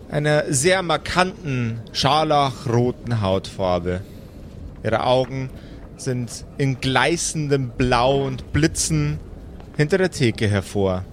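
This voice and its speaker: Man, game master narration, very slow, measured pauses, fantasy audio play, slight background noise. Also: mid-range voice. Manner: deliberate, pausing between phrases.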